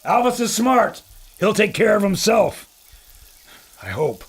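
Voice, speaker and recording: Brief: actor doing brash young-sounding crewman, high and swaggering. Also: sing-song, a little slow. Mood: brash bravado. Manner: bold, boastful. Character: brash cocky male crew member.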